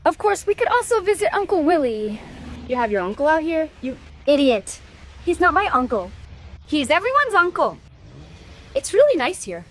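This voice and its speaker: A woman's voice: sits high, very animated, moderate pace, slight background noise. A woman playing a bold, pushy young girl who teases and coaxes, in an audio drama. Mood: teasing, bickering.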